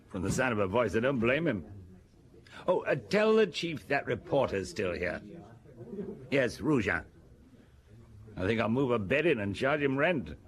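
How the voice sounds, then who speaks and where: An actor playing a dry-witted police detective in a radio drama. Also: male voice, medium pitch, natural voice.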